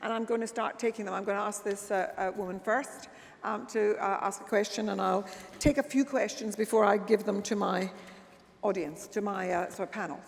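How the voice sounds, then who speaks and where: A woman's voice: medium pitch, fairly even intonation, a little fast, vocal fry, in a large hall. A woman chairing a live panel debate, often stumbling and repeating words as she starts a thought. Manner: hesitant, repeats words before getting going. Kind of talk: moderating a live panel discussion.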